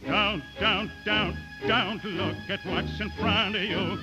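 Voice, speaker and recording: A high, maddened marching soldier. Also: male voice, fairly even intonation, takes it slowly, loud music behind the voice. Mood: desperate. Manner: declamatory, insistent, repetitive. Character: weary marching soldier going mad. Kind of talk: dramatic operatic song-recitation. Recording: dull 1940s radio recording.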